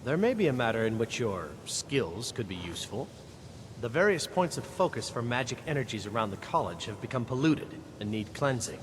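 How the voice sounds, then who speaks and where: Guy doing grave formal wizard man from a fantasy game, big hall reverb, rumbly noise behind him. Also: sing-song, brisk, close to an everyday voice. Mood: formal, instructive. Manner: formal, theatrical. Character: grave, exacting male wizard mourning lost knowledge. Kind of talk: fantasy video game voice acting.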